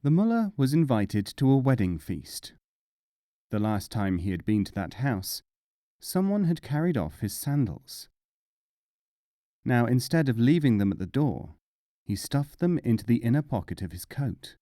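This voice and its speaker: A man narrating short tales as an audiobook, with measured, deliberate phrasing and dramatic pauses. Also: medium pitch, very animated, warm tone, no room sound, clean studio sound. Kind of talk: scripted storytelling narration.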